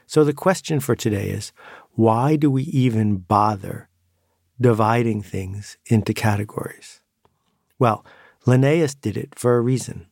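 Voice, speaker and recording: Gravelly-voiced man musing at a close mic. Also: lowish voice, unhurried, darkish tone, no room sound. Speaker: podcast host. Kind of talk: reflective monologue.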